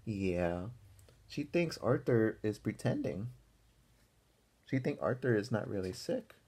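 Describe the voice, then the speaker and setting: A young man reads a storybook to small children in an unhurried, mellow voice. His pitch moves around a lot, and he speaks to the listeners like an encouraging teacher. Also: sing-song, slightly rough. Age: young adult. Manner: encouraging, asks listeners questions. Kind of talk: picture-book read-aloud with asides to children. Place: small room at home, indoors.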